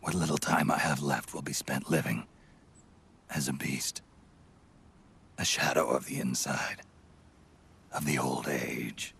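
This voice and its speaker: A prematurely aged, dying soldier from a video game drama. His voice is extremely low and badly rasped, dragging slowly through short, solemn, weary phrases. He is stoic and terse. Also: steady tone, a bit bright, full character voice. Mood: weary, resigned. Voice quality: gravelly, breathy rasp. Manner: terse, solemn, half-whispered.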